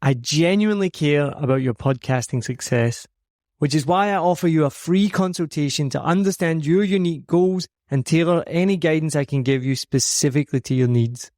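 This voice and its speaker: Scottish male podcast host with a slightly high voice; eager scripted pitch, dry studio mic. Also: normal speed, a little mellow, no room sound. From Scotland. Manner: upbeat, promotional, earnest. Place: home recording studio.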